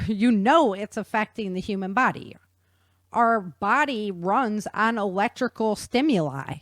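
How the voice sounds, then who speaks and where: A woman explaining things in an animated, conversational way with casual slang. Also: fairly high pitch, no echo, studio quality. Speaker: guest author explaining research.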